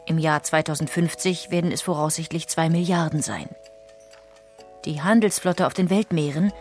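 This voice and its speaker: Low-pitched female documentary narrator. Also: darkish tone. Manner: measured, factual.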